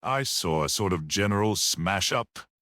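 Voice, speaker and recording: A man voicing a mild, quietly grave little priest-detective in an audiobook, gentle and matter-of-fact. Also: low voice, lively intonation, measured pace, no room sound, studio quality, exaggerated voice.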